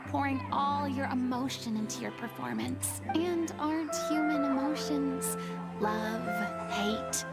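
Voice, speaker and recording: A high, girlish stage diva from a video game. She is self-assured and grandiose, declaiming with theatrical flourish over loud music. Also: unhurried. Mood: grandly earnest. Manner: grandiose, dramatic, haughty.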